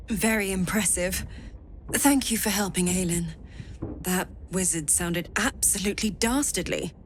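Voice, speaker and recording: An actress playing a gentle, loyal companion in a fantasy video game, speaking politely and earnestly. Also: female voice, lowish voice, a little slow, a little hiss or hum, close to an everyday voice. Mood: polite, admiring.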